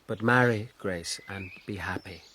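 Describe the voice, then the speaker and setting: An actor playing an honourable young lord with an upper-class English accent in a period radio drama. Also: male voice, deep voice, a little slow, sharp tone, slightly rough, heavily acted. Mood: pained.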